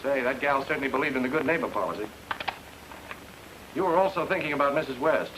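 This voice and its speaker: Male actor as wry amateur sleuth, casual, quick-witted, medium pitch, old-time radio drama, heavy background noise. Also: lively intonation, lightly acted. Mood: casual, shrewd.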